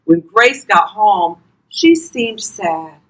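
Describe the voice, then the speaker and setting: An older woman reading a children's storybook aloud expressively, talking directly to young listeners in a husky voice. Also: medium pitch, big pitch swings, drawn-out words, a bit bright, rough recording. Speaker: volunteer grandparent reading to children.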